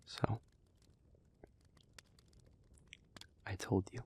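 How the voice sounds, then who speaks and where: A man playing a gentle, solemn, remorseful demon in an intimate audio roleplay, speaking to the listener in a breathy, halting, earnest near-whisper. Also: lightly acted. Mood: solemn, confessional. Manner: slow, halting, earnest, with long pauses.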